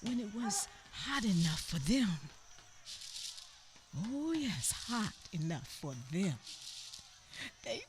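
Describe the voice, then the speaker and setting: Slow, dramatic woman storyteller. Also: sing-song, noisy background. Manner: slow, halting, with repeated words and sudden swings in pitch and loudness; drops to a whisper at times. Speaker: narrator in a radio play. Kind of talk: dramatic spoken-word storytelling.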